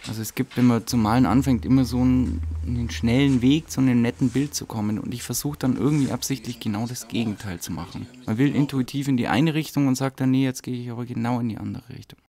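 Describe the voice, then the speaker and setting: A man, a painter, reflecting on his own work in a halting, searching interview manner. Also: medium pitch, dark tone.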